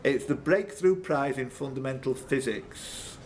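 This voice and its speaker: A man's voice: medium pitch, unhurried. A man working as a quizmaster, reading questions live with informal teasing banter and stumbling self-corrections. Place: indoors at a pub quiz tournament.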